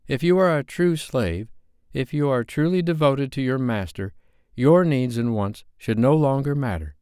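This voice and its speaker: A man's voice: mid-range voice, animated, normal speed, a little mellow, clean tone, dry recording, studio quality, voice pushed up, close to an everyday voice. A man voicing a self-righteous, earnest, devoted woman in an audiobook. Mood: earnest insistence. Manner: preachy, earnest.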